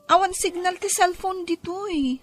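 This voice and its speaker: Woman acting a very high-voiced young Ilocano daughter. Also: steady tone, normal speed, dry recording, soft background music. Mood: pleading. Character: pleading, tearful young daughter Donna. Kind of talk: Ilocano comedy-drama podcast acting.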